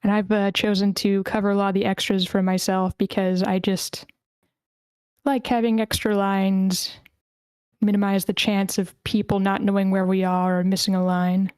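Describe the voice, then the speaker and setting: Clear, mellow woman hosting casually. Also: mid-range voice, level tone, normal speed, no room sound. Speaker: host of an online screenplay table read. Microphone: close microphone on an online voice call.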